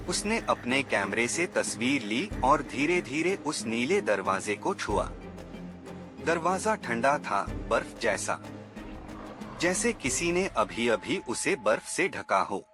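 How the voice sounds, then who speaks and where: A man's voice: mid-range voice, bright, forceful tone. A man narrating a scripted horror story in a dramatic, suspenseful manner.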